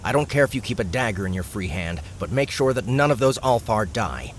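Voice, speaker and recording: A man's voice: on the low side, big pitch swings, brisk, slight background noise. A man voicing a pragmatic male gamer who leads a fantasy party, bossy and dryly grumbling, in an audiobook. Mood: commanding. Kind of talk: audiobook character acting.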